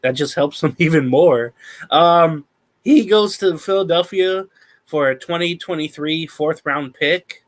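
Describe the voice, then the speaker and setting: A man with a slightly high voice co-hosts a sports talk podcast. He walks through the news steadily and methodically, filling his pauses with ums and uhs. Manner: steady, methodical recap with many fillers. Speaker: podcast co-host.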